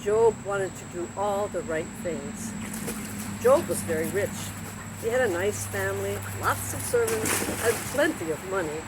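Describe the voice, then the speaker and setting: Loud noise runs behind the recording. A woman with a mid-range voice reads a children's Bible story as the host of a story time. Her phrasing is simple and deliberate, with expressive emphasis. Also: medium pitch. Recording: very noisy recording with steady background noise.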